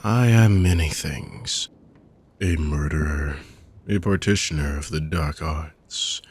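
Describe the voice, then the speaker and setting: A man voicing a weary ancient dark mage villain in a fantasy roleplay audio, speaking in a slow, measured way that drifts into hushed whispers. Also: a bass voice, a little hiss or hum. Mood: weary, menacing.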